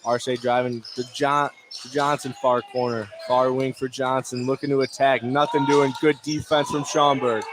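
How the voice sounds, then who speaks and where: Guy doing live basketball radio play-by-play, short choppy phrases, mid pitch, noisy gym behind him. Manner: clipped running phrases. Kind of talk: live sports radio commentary. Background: basketball bounces, gym noise.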